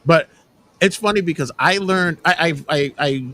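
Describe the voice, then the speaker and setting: A man's voice: on the high side, moderate pace. A man hosting a wrestling podcast, chatting casually and often repeating words as he starts a thought. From Puerto Rican family. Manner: animated, repeats words when starting sentences. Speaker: podcast host. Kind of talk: casual chat.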